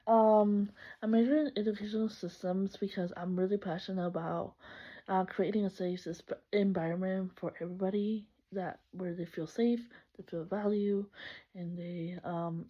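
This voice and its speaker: A woman's voice: mid-range voice, moderate pace, husky. A young woman from El Salvador introducing herself for a class, chatty and upbeat, laughing often and correcting herself. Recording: slightly muffled lo-fi recording.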